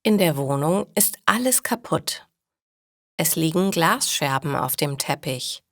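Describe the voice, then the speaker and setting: A woman reading a scripted story for language learners in deliberate, clearly separated short sentences. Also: deep voice, lively intonation, a bit bright, dry recording, studio quality.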